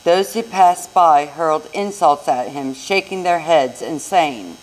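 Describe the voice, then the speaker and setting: A woman's voice: deep voice, expressive, measured pace, poor audio. An American woman reading a scripture narrative aloud as narrator in a live church recording. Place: church service.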